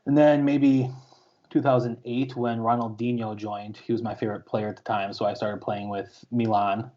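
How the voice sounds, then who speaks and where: A man's voice: mid-range voice, little pitch movement, normal speed, warm tone. A man co-hosting a podcast, chatting and telling a story with lots of ums. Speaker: podcast co-host. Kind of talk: casual podcast chat. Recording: slightly lo-fi podcast audio.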